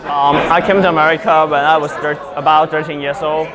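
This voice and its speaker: A man's voice: a little high, flat delivery, fairly quick, low-quality recording. Hesitant teenage man from China. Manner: hesitant, with many ums. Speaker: immigrant student interviewee. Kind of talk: candid interview answers. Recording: crowd chatter behind.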